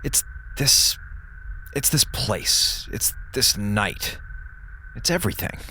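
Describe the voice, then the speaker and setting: A man acting in an audio drama as an exhausted, anxious space station crewman, speaking haltingly and emotionally. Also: on the low side, very animated, pushed and bright, a little hiss or hum, heavily acted. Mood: anguished. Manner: halting, emotional, swinging delivery.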